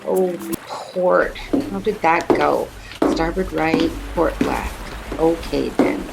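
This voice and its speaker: Tipsy flirt female actor, lowish creaky voice, exclamatory drawl, radio play. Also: takes it slowly, over loud music, full character voice, low-quality recording. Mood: amused.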